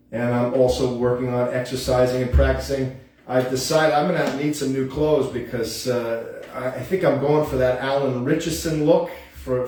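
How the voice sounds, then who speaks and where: A man's voice: mid-range voice, darkish tone, a little hiss or hum. Rambling man in his fifties, echoey room. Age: 50s. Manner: earnest, halting, with many ums and restarts. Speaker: host of a home music show. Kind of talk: rambling casual monologue. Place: room at home, at a piano. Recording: echoey room, mic at a distance.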